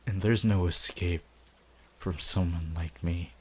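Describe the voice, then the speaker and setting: A man voicing a sinister young male villain in an ASMR roleplay, possessive and grandiose, speaking in a gloating, deliberate manner with dramatic pauses. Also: sits low, fairly even intonation, a little mellow, a little hiss or hum. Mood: possessive, hypnotic. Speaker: voice actor.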